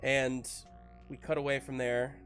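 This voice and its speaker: A man improvising narration as a tabletop game master, casually and haltingly searching for words. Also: medium pitch, flat delivery. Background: faint music.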